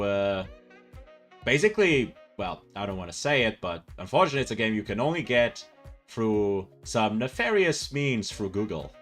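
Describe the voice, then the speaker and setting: Low-voiced young man rambling. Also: fairly bright tone. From Portugal. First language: Portuguese. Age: 20s. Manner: rambling and self-correcting, with frequent fillers and laughs. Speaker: livestreamer. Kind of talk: casual live-stream chat reading viewer comments.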